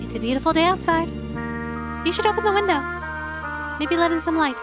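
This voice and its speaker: Gentle young eldritch girl, game character, female actor. High, soft, wistful, over prominent guitar music. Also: animated, fairly quick. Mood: gentle, bittersweet. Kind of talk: visual novel game voice acting. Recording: guitar music loud behind voice.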